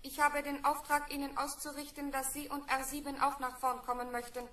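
A woman's voice: sits high, completely flat delivery, brisk, sharp tone. A woman playing a dutiful, rule-bound female robot in a vintage science fiction radio play, speaking stiffly and formally. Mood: stiff, obedient. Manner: stiff, formal, literal-minded. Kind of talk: vintage German sci-fi radio play acting. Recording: echoey old radio play recording.

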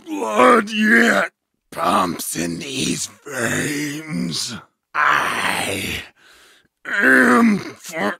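A man playing a savage, fearless wolf-pack berserker in a medieval combat game, with a growling, gravelly voice and fierce, archaic phrasing. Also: fairly high pitch, wildly swooping pitch, very slow, pushed and bright, no room sound, studio quality, exaggerated voice. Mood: defiant, dying. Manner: archaic phrasing, fierce short barks and battle cries. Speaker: game character voice actor.

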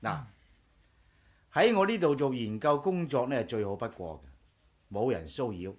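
A man's voice: mid-range voice, expressive, measured pace, husky. A man with a Hong Kong Cantonese accent playing an easygoing, boastful engineer friend in a 1980s radio drama. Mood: breezy, boastful.

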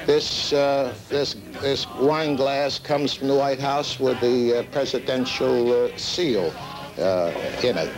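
A middle-aged American man showing off his collection in halting, formal, deliberate phrases. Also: medium pitch, steady tone. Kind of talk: informal show-and-tell. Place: cluttered indoor collection room. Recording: old degraded videotape, music behind.

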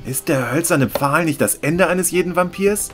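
A man's voice: a little high, moderate pace, close to an everyday voice. A man playing a skeptical, curious bar patron, with faint music behind him. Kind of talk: amateur horror audio drama.

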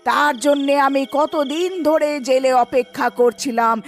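A woman voicing the menacing ghost of a wronged young woman in a cartoon, commanding and threatening, with drawn-out calls. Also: high-pitched, soft background music. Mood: bitter, vengeful.

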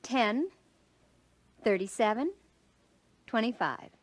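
A courteous, friendly cashier in a 1940s training film. Her fairly high voice is very varied in pitch, and she speaks slowly and politely. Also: expressive, noisy background. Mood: matter-of-fact. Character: courteous, friendly female store cashier greeting customers.

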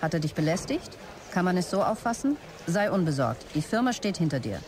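An actress playing a brisk, stressed, commanding start-up boss in a German audio drama, dictating messages curtly. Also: female voice, fairly low pitch, very animated, a little mellow, a little hiss or hum. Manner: curt, businesslike dictation of messages.

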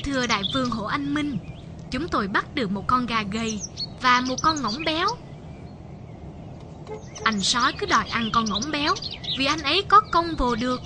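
A woman voicing a sly, polite, quick-witted little fox in an animated fable. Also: high-pitched, noisy background. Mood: flattering, pleading.

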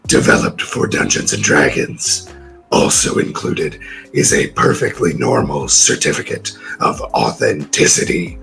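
Very deep, gravelly, sly demon. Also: male voice, pushed and bright, heavily acted, poor audio. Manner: slow, ominous, falsely reassuring. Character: sly demon peddling an infernal contract, slow and menacing. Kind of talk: theatrical reading of a prize description.

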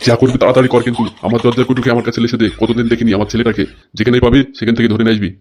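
A man's voice: medium pitch, flat delivery, rapid-fire, background noise, close to an everyday voice. A man playing a bossy, foul-mouthed crime boss and politician, scolding and commanding in a comic cartoon dub.

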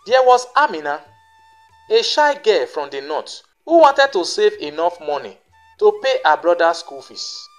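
Storyteller for scripted folktale narration, animated pitch, music bed. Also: a little slow.

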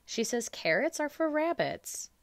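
Female picture-book reader, expressive storytelling, unhurried pace, animated intonation. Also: high-pitched, no echo. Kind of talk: children's story read-aloud.

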